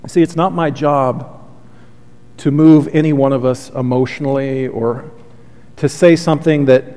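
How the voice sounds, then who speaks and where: A man's voice: mid-range voice, steady tone, unhurried. A man preaching a sermon as pastor, earnest and heartfelt, with a soothing voice. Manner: earnest, heartfelt preaching with soft confessional asides. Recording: long hall reverb.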